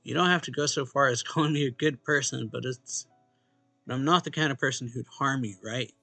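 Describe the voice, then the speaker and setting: A man's voice: medium pitch, fairly quick, gravelly, bright, forceful tone, no room sound. A man reading a visual novel's narration and dialogue aloud expressively, as a let's play host. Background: game music.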